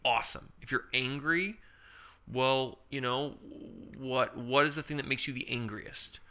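A man with a very bright, crisp and smooth voice co-hosts a casual improv podcast. He walks through character-building ideas, tossing off quick hypothetical examples and rhetorical questions in an easy chatty flow. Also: mid-range voice, steady tone. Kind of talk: casual instructional chat.

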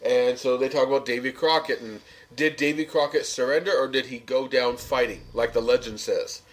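A man's voice: mid-range voice, moderate pace, rough recording. A man chatting casually as a podcast co-host, recapping a television episode.

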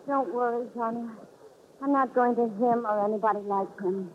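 A woman's voice: on the high side, moderate pace, natural voice. An American actress playing a vulnerable, hesitant young woman in a 1940s radio drama, on a tinny old recording. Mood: subdued.

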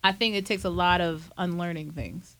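A man's voice: high-pitched, fairly even intonation, moderate pace, bright, forceful tone. A man in his late twenties hosting a casual interview, joking, candid and laughing often. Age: 20s. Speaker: podcast host.

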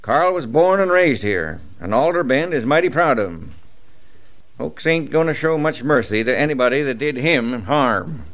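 An actor playing a tough, stubborn small-town sheriff in a radio western, with gruff, folksy frontier speech. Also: male voice, medium pitch, expressive, moderate pace, slight background noise, close to an everyday voice. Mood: stern, dismissive. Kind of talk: old-time radio western acting. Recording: dull vintage radio broadcast.

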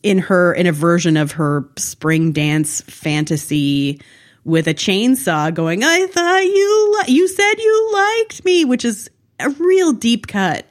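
A woman's voice: mid-range voice, big pitch swings, slow. A woman co-hosting a casual TV recap in a blunt, wry, critical manner. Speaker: podcast co-host.

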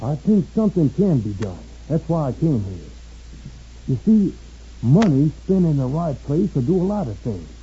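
An actor playing an earnest, plain-spoken young soldier in a 1950s radio western. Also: male voice, a little high, sing-song, normal speed, long pauses, warm tone, slight background noise. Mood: smooth, grave. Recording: dull 1950s radio recording.

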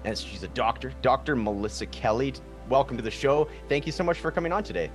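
A man hosting a podcast, chatting casually and warmly, rambling a little with fillers and restarts. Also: medium pitch, lively intonation, quick pace, soft background music. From Canada. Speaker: podcast host. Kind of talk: casual interview chat.

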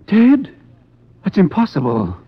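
Fussy high-voiced theater producer, old radio. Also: male voice, wildly swooping pitch, slow pace, husky, no echo, exaggerated voice. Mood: flustered, dismissive. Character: fussy, evasive male theater producer, protective of his show. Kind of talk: 1950s radio drama acting. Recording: muffled 1950s radio broadcast.

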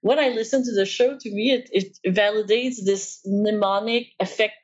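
A woman's voice: mid-range voice, fairly even intonation, normal speed, dull audio. A young woman from Quebec with a Quebec French accent, a native French speaker, talking as a podcast guest in a thoughtful, hesitant way with frequent fillers. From Quebec, Canada. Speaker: podcast guest, PhD student. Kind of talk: casual interview talk.

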